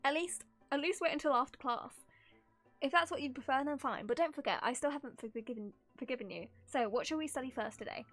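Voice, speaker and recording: A woman's voice: sits high, fairly quick, fairly bright tone, faint music behind. A woman voicing a suave, demanding male professor of about thirty from a game story, composed and teasing. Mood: stern.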